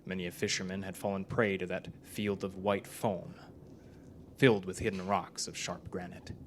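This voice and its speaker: A man's voice: deep voice, little pitch movement, moderate pace. A man reading a scripted ghost story as a narrator, recorded live in a club with background noise. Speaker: story reader. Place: performance club before a live audience.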